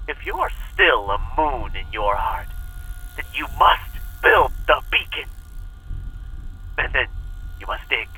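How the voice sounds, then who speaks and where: A hunted cult leader with a piercing, intense voice that swings widely in pitch. He preaches and pleads with theatrical, manipulative menace. It plays as a distant tape message in a horror audio drama. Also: big pitch swings, slow, pauses often, sharp tone, exaggerated voice. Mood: pleading, urgent. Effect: played back as a taped message.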